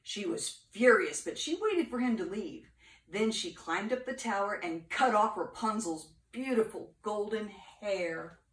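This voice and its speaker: An older woman reads a children's story like a grandmother to her grandkids. Her pitch is middling and her delivery expressive, with dramatic pauses. The room echoes and she sits a little away from the mic. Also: recorded from a distance. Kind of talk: storybook read-aloud with playful asides.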